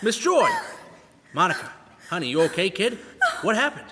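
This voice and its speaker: A man plays a brash backstage showbiz type in a radio drama. The voice is high, animated and punchy, full of wisecracking slang, with hall reverb around it. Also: normal speed, heavily acted. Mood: alarmed. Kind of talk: old-time radio drama acting. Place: live performance in a church. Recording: echoey church hall.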